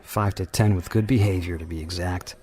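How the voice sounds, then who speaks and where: An actor playing a bitter, world-weary carnival manager in an audio drama, irritable and sardonic. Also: male voice, low-pitched, steady tone, a little fast, a little mellow, close to an everyday voice. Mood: reflective, weary. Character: bitter, brooding carnival manager haunted by lost love. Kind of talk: audio drama narration and dialogue. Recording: music behind the voice.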